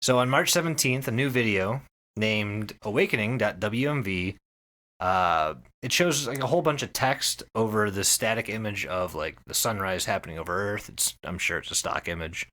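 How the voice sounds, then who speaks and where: Male podcast co-host, lowish forceful voice, emphatic and sweary explainer. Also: normal speed. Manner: emphatic, profane. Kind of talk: casual podcast chat, explaining.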